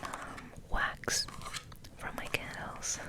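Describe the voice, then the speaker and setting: Slow whispering woman, soft ASMR host. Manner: gentle, hushed, unhurried, often repeating words softly.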